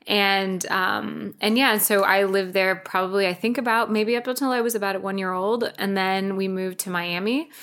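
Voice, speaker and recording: A woman's voice: mid-range voice, steady tone, normal speed, hardly any pauses, fairly bright tone. A woman in her thirties from Brazil, a startup founder, answering interview questions in a chatty way with lots of fillers. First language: Spanish. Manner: chatty, many fillers, laughs easily. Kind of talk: conversational interview.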